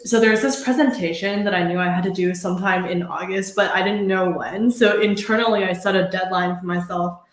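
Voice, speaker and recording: A woman joining a live stream chat, telling work anecdotes in a rather monotone voice that can come across as sarcastic. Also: medium pitch, a little fast, few pauses, reverberant. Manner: says her voice is monotone, can sound sarcastic. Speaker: co-host on stream.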